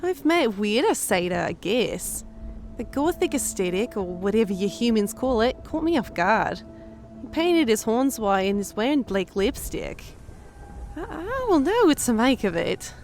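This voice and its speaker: A woman's voice: fairly high pitch, sing-song, unhurried, darkish tone. A woman playing a grumpy, foul-mouthed female creature with a blunt, sardonic way of talking in an audiobook drama. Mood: sardonic, grumbling. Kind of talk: audiobook drama character acting. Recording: clear background noise.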